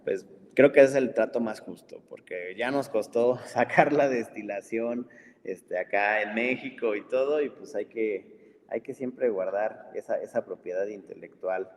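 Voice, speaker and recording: A man hosting an online talk in a relaxed, conversational way, with lots of filler words. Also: lowish voice, a little slow. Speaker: webinar host. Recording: long reverb, faint background noise.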